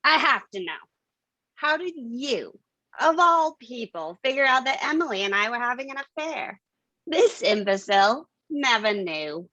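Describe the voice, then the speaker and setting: Woman playing a slow, scornful femme fatale. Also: fairly high pitch, lively intonation, bright, forceful tone, dry recording, exaggerated voice, low-quality recording. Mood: mocking. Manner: sardonic, deliberate, condescending. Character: scornful, cool, commanding femme fatale villainess. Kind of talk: staged reading of a noir detective comedy.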